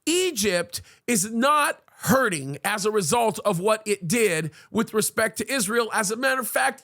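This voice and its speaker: Pastor guy reading news out loud and chiming in, kinda high voice with some gravel, leans on words, lots of uhs. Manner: earnest, emphatic, leans hard on key words; frequent "uh" fillers while thinking aloud. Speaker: pastor and online commentator. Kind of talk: reading a news article aloud with commentary.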